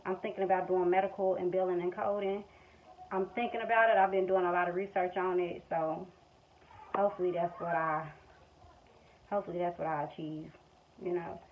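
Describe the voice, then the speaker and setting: Woman, 20s, American accent, lowish voice, unscripted colloquial vlog chat, slightly muffled phone audio. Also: fairly even intonation, a little fast. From Missouri, United States. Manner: colloquial, frank. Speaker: vlogger, stay-at-home mother. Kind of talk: casual off-the-cuff chat to camera. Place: small room at home, indoors. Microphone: phone at arm's length or propped nearby. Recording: dull lo-fi phone audio, faint background noise. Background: household background noise.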